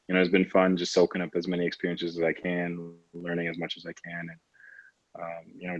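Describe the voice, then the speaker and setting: A man's voice: deep voice, slightly rough. A man in his late twenties from Trinidad and Tobago, talking conversationally and reflectively as an interview guest, often saying "you know". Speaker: podcast guest, professional basketball player. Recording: roomy, slightly dull lo-fi audio.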